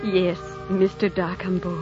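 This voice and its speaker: Actress as a soft-spoken romantic heroine, muffled old radio. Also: female voice, on the low side, monotone, measured pace, close to an everyday voice. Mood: soft, tender. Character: young frontier heroine, loving, soft-spoken and troubled. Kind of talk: 1940s radio drama acting. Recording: muffled 1940s radio recording, music behind.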